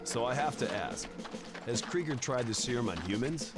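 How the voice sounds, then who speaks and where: An actor playing a tough, reluctant action hero in a video game, with a wisecracking, irritable manner. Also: male voice, mid-range voice, animated, normal speed, heavy background noise, lightly acted. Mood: wry. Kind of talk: video game cutscene acting.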